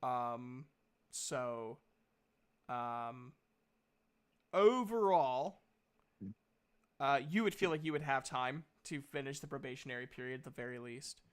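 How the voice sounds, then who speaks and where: A man's voice: mid-range voice, takes it slowly, pauses often, clear, resonant tone. A man narrating a tabletop roleplaying game as game master, explaining things in a hesitant, improvised way.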